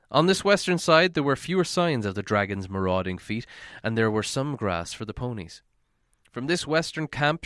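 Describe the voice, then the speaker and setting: A man's voice: mid-range voice, fairly bright tone, smooth, no echo, clean studio sound. A man narrating a fantasy novel aloud as an audiobook reader. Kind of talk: storybook narration, read aloud.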